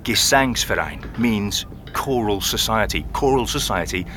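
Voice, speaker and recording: An actor playing a calm music box restorer in an audio drama. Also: male voice, lowish voice, measured pace. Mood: matter-of-fact.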